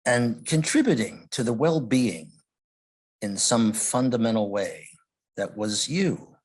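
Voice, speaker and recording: A man leading a guided meditation, speaking gently in short phrases with long pauses. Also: medium pitch, lively intonation, breathy edge. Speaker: meditation leader.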